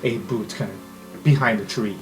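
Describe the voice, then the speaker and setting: A man's voice: fairly low pitch, moderate pace, music in the background. A man acting as game master, narrating an improvised tabletop game.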